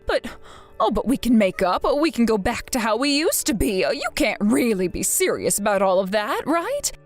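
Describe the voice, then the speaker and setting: A woman voicing a manipulative, whining mother who pleads and wheedles in a high voice for money in a dramatized story. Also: very animated, normal speed, faint music behind. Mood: begging.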